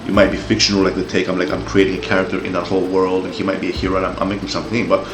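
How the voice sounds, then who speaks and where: A man's voice: sits low, monotone, rapid-fire, reverberant, noisy background. A man in his forties with a Greek-Australian accent, ranting heatedly with swearing and stumbling repeats as an opinion commentator. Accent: Greek-Australian English. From Greece, raised in Australia. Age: 40s. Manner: heated, profane, repeats words when riled. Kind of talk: opinion rant.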